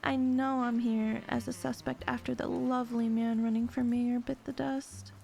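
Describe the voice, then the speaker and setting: Woman acting a high, creaky, flirty con artist. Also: level tone, moderate pace, dark tone. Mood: playful, teasing. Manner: teasing, sly, flirty. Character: playful, flirtatious female con artist, teasing suspect. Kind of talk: audio drama acting.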